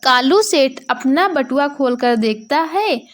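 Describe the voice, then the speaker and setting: A woman's voice: high-pitched, animated, slow. A woman narrating a scripted moral bedtime story for children.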